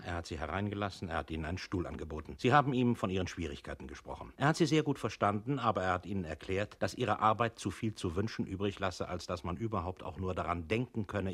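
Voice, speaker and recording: An actor in a radio play voicing a male instructor who earnestly coaches a downtrodden employee in a didactic, deliberate manner. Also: low voice, lively intonation, fairly bright tone, slightly rough, heavily acted. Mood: recapping. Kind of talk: scripted radio play narration.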